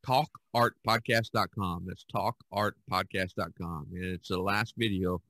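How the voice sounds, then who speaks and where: A man in his sixties hosting a casual podcast conversation, speaking haltingly and often repeating phrases. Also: deep voice, steady tone, bright, forceful tone, dry recording. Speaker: podcast host.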